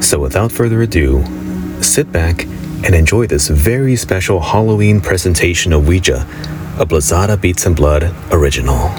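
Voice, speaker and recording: A man's voice: sits low, lively intonation, normal speed, music in the background. A man who hosts and produces a podcast, delivering a scripted introduction.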